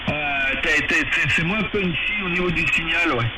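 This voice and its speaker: A man chatting casually as an amateur radio operator, giving hesitant, measured feedback through a two-way radio. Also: on the high side. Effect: two-way radio transmission. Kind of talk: casual radio contact chat. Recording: very noisy, hissy radio transmission.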